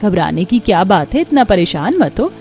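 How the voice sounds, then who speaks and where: Woman acting a middle-aged cartoon mother-in-law, kind, patient, gentle, mellow, reassuring. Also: mid-range voice, sing-song, normal speed, soft background music. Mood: concerned. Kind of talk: cartoon story dialogue.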